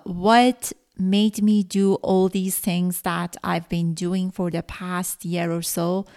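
A middle-aged woman, a native Farsi speaker from Iran, hosting her own podcast and telling her story earnestly with frequent pauses. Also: medium pitch. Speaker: podcast host. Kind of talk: solo podcast monologue.

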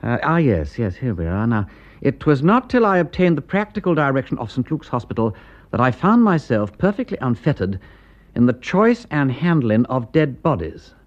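An actor playing a breezy, wry, upper-class English gentleman detective with a drawling, flippant manner in a classic radio drama. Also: mid-range voice, sing-song, moderate pace, highs cut off, natural voice. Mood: musing, intrigued. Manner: drawling, flippant, old-fashioned gentlemanly slang.

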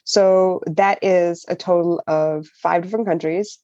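A woman born in Singapore who grew up in many countries, talking as a guest in a brisk, wry, matter-of-fact way. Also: lowish voice, fairly even intonation, mellow, no room sound, dull audio. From born in Singapore, raised in many countries. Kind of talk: interview conversation.

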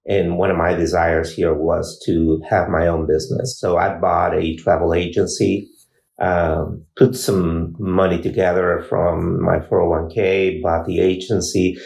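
A man from Peru, an insurance agent, answering interview questions in a measured way with many pauses and fillers. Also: low voice, gravelly, darkish tone, echoey room.

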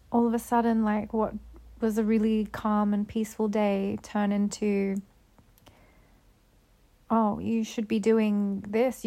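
A woman's voice: fairly high pitch, warm tone. A young woman chatting casually and upbeat to her viewers, a little sick with a cold. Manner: chatty, rambling, upbeat self-talk. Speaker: vlogger.

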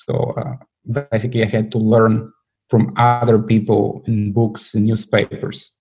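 Flat, mellow man. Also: fairly low pitch, measured pace, creaky, faint music behind, poor audio. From Bulgaria. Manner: hesitant, many fillers and restarts. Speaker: guest, portfolio manager. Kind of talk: interview answers.

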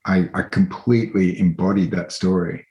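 Aussie guy in his 30s, lowish voice, thinks out loud w lots of ums, echoey room. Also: fairly even intonation, normal speed. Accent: Australian. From country New South Wales, Australia. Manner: thoughtful, hesitant, many fillers and restarts. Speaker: interview guest, artist and researcher. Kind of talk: reflective conversational interview.

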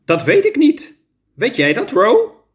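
Storybook creature teacher, male reader: high voice, patient, instructive, matter-of-fact. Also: huge pitch swings, takes it slowly, exaggerated voice.

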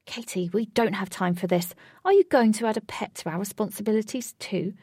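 A woman puts on a grumpy male companion from a storybook. He is curt, formal and drily sarcastic. The tone is dark and husky, with creak at the edges. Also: mid-range voice, brisk, no room sound, studio quality. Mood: impatient. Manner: curt, disdainful, formal wording. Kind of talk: storybook character voice.

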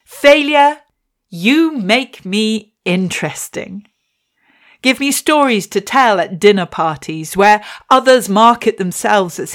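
Slow-spoken wry woman poet. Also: medium pitch. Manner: wry, self-deprecating. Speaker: podcast host and poet. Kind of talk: reflective storytelling and poetry reading.

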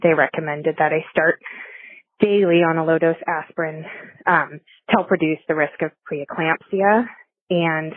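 A woman's voice: low-pitched, breathy edge. A woman in her thirties calling in with a question, hesitant and full of ums. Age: 30s. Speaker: caller. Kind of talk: recorded voicemail question. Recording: phone line.

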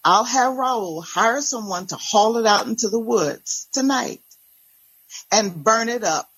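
Exasperated wife in a domestic audiobook drama, played by a woman, raspy edge, hard emphasis. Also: fairly high pitch, lively intonation, unhurried, fairly bright tone, no room sound, exaggerated voice, low-quality recording. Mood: coolly cutting. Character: fed-up, furious pastor's wife confronting her husband.

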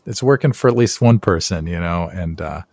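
A man's voice: low-pitched, animated, fairly quick, a little mellow, no echo, studio quality. A man hosting a podcast interview, chatty and friendly, with frequent fillers. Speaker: podcast host. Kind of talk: casual interview chat.

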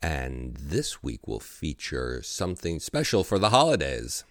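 A man co-hosting a baking podcast, chatting casually with playful teasing and deadpan interjections. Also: a bass voice, unhurried, no room sound, studio quality. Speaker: podcast co-host.

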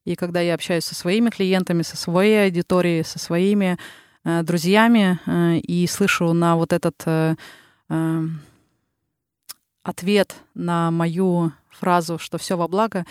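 A woman in her thirties from the Urals with a Ural Russian accent, a psychologist talking slowly and reflectively as a podcast guest, with frequent filler sounds. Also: lowish voice, fairly even intonation, a little mellow, clean tone, dry recording, studio quality. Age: 30s. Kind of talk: casual interview talk.

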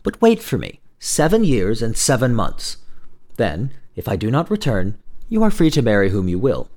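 A man's voice: mid-range voice, wildly swooping pitch, normal speed, a little mellow. A man voicing a brave, resolute young knight in a raised, firm tone. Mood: earnest.

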